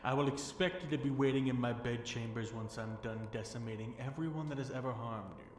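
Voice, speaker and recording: A man playing a possessive, seductive fallen dragon king in an intimate ASMR fantasy roleplay, with teasing, commanding delivery and breathy whispered words. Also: mid-range voice, a little fast, natural voice. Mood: possessive, dominant. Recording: roomy echo, faint background noise.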